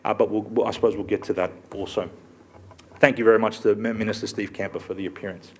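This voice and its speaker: A middle-aged Australian man giving a public lecture, halting with many fillers and restarts. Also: on the low side, fairly even intonation, breathy edge. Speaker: guest speaker.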